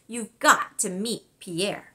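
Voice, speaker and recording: A woman voicing an excitable, cheerful young girl in a storybook, bubbly and eager. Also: mid-range voice, takes it slowly.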